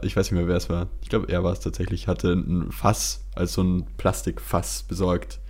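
A man's voice: low voice, monotone, moderate pace, darkish tone, slightly rough. A young man, telling anecdotes casually as a podcast host.

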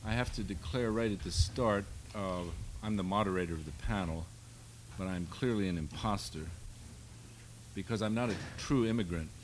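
A man in his sixties with a lowish voice moderates a live panel before an audience. He talks in a wry, rambling, self-deprecating way, and a faint hum of the room sits behind him. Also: normal speed. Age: 60s.

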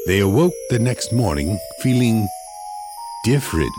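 A man narrates a story with mock-epic grandeur. His low voice rises and falls dramatically in scripted, theatrical delivery. Loud music plays underneath. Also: very animated, a little slow.

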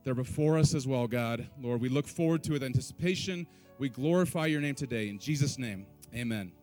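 A man's voice: mid-range voice, fairly quick, a little mellow, soft background music. A man leading a church service, urging the congregation with call-and-response lines and joking asides, then praying. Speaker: worship leader. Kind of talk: church stage exhortation and prayer. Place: church sanctuary.

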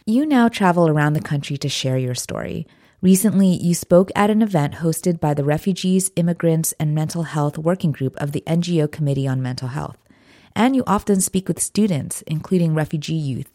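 A woman interviewer reading scripted questions in a formal, measured way. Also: deep voice, warm tone, no echo, studio quality.

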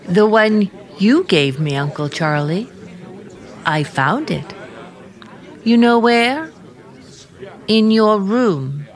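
An actress playing an earnest, suspicious young niece in old-time radio drama, speaking in a guarded, halting, pointed way. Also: female voice, medium pitch, expressive.

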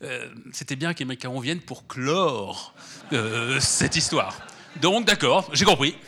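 Man, guest author, has a cold, rambling and animated, lots of fillers. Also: medium pitch, a little slow. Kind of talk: relaxed conversational talk at a public event. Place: event hall before an audience.

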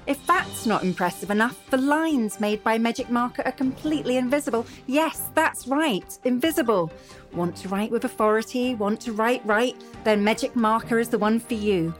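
A woman's voice: on the high side, moderate pace, soft background music, natural voice. A woman voicing a slick, grandiose commercial announcer in a parody radio advert. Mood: salesy. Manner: salesy, grandiose. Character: slick female commercial announcer selling a miracle product.

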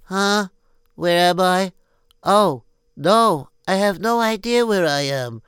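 A man's voice: high voice, takes it slowly, a bit bright, clear, resonant tone, dry recording, clean studio sound, voice pushed up. A man voicing a frightened little girl who stammers in confusion. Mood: bewildered. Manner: timid, stammering.

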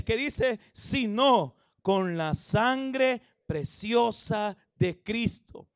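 A man, preaching an evangelistic sermon and leading the congregation in reading, with phrasing that swells into shouts. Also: very high-pitched, dry recording, clean studio sound. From El Salvador. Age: 30s. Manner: calls everyone "hermano", drawn-out emphatic phrasing. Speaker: pastor.

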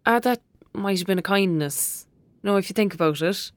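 A woman's voice: mid-range voice, moderate pace, no room sound, lightly acted. A woman playing a protective Irish friend in an audio drama, coaxing and colloquial in manner. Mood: wry, chatty. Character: girl's protective female friend, secretly her mother.

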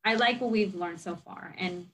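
A woman's voice: fairly low pitch, moderate pace, fairly bright tone, a little hiss or hum, poor audio. Woman, young adult, chatty, casual reflective talk, discussion host, informal phrasing. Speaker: host and researcher.

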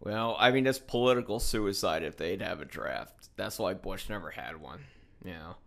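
A man's voice: low-pitched, animated, moderate pace, vocal fry. A middle-aged man with an American accent, chatting informally and bluntly as an interviewer, rambling a little. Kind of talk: casual opinionated conversation.

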